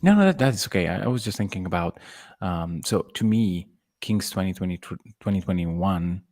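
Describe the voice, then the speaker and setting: Gravelly, low-voiced man talking sports. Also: expressive, moderate pace, darkish tone. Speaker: sports podcast co-host. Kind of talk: casual sports debate.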